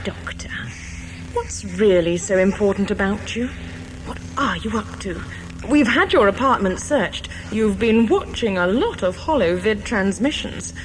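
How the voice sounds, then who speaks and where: An actress playing a cool, imperious colonial ruler in an audio science fiction drama, clipped, commanding and drily condescending. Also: female voice, medium pitch, lively intonation, a little slow, a little hiss or hum, close to an everyday voice, rough recording. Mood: dry, condescending. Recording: music behind the voice.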